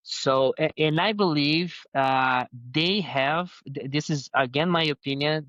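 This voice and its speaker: A man with a heavy accent who is not a native English speaker, answering interview questions slowly, with many fillers and restarts. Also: medium pitch, a bit bright, dry recording, highs cut off. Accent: Spanish-speaking. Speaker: guest, head of design.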